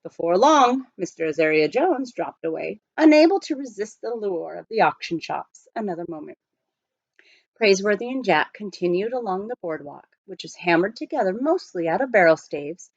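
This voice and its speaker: A woman reading a children's novel aloud as scripted narration, with expressive storytelling. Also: medium pitch, moderate pace, no room sound, highs cut off. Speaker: audiobook reader.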